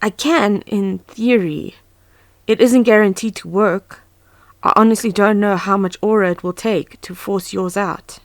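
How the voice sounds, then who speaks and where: A woman's voice: medium pitch, measured pace, dry recording, close to an everyday voice. A woman playing an earnest, anxious but composed young huntress in a fan audio drama. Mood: earnest, instructive. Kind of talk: fan audio drama, first-person narration and dialogue. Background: background music.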